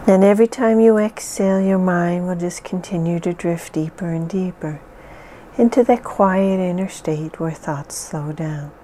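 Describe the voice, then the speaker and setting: A woman leading a guided hypnosis session in a slow, soothing, measured way with long pauses. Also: fairly low pitch, warm tone, slight background noise.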